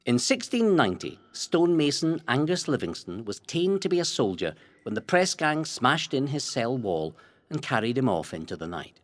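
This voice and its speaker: A man's voice: mid-range voice, very animated. Man narrating history with dramatic, swooping delivery. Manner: theatrical, measured storytelling. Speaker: narrator of a local history audio guide.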